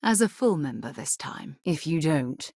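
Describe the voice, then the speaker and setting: An actress voicing a composed, principled woman who leads space explorers in a video game, speaking in a measured, formal and earnest way. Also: sits low, lively intonation, vocal fry, a little mellow, dry recording, clean studio sound, full character voice. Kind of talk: game voice lines.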